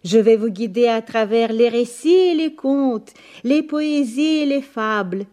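A woman hosting a scripted bilingual radio language lesson as guide and interpreter, formal and deliberate, repeating phrases. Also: on the high side, expressive, dark tone.

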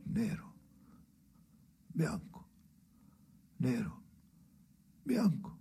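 A man playing a haunted old narrator in a bleak radio drama, speaking in halting, hushed fragments with a gravelly voice. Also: mid-range voice, huge pitch swings, a little hiss or hum, exaggerated voice. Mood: hushed, brooding. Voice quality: gravelly, creaky. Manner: slow, fragmented, halting delivery with hushed whispery phrases. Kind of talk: dramatic monologue in a stage-style radio play.

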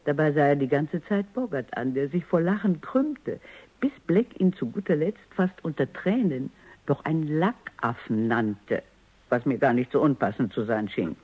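A woman's voice: low voice, animated, moderate pace, slightly rough. An actress playing a stern, exacting middle-aged woman robot psychologist in a radio drama, speaking precisely and insistently. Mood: measured, narrating. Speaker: lead actor and first-person narrator. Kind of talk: radio drama acting and narration. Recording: dull, band-limited radio recording.

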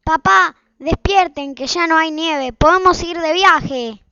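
A woman plays a schoolkid, the older sibling in a radio drama. The voice is high and bright, on a dull recording. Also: takes it slowly, no echo, exaggerated voice. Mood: insistent. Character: schoolchild, elder sibling in the family. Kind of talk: amateur radio play acting.

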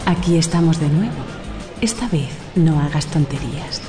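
Low, coaxing female inner tempter. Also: expressive, loud music behind the voice. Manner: soothing, persuasive, unhurried. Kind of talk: surreal radio drama acting.

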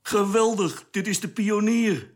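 A man's voice: high-pitched, a little slow. A man acting in a radio play as a candid, pensive spaceship radio operator with a hesitant, informal manner. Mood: eager, proud. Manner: hesitant, informal, thinking aloud.

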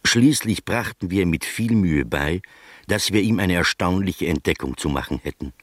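Radio drama narrator: earnest, slightly anxious gentleman, low-pitched, articulate, dry sound. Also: expressive, moderate pace, no room sound. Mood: measured storytelling. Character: earnest, anxious male friend narrating a detective tale.

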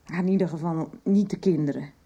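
An actress in a radio soap playing a troubled, wry mother and recovering alcoholic, middle-aged and halting. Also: lowish voice, dark tone, husky, muffled recording. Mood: anxious, confiding.

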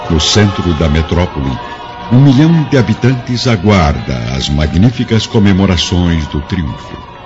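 A man's voice: low voice, animated, measured pace. A man with a Brazilian accent narrating a historical radio serial in a solemn, theatrical way. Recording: dull lo-fi recording with music underneath.

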